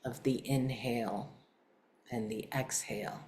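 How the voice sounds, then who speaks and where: A woman leading a guided meditation in a calm, unhurried, soft-spoken way with long pauses. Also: rumbling low.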